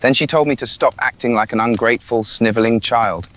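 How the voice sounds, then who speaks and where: A man's voice: mid-range voice, brisk, fairly bright tone, a little hiss or hum, natural voice. A man with a British accent playing a restless, rebellious young prince in an intimate, sardonic audio roleplay. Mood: bitter, despairing. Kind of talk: scripted audio roleplay addressed to the listener.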